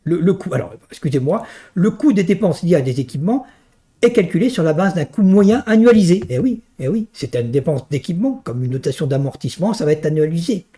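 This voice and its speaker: A man's voice: a little high, animated, fairly quick, warm tone. A man lecturing on a technical subject in a didactic, emphatic way, often punctuating his points with little tag questions. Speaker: university lecturer. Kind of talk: teaching a technical finance course.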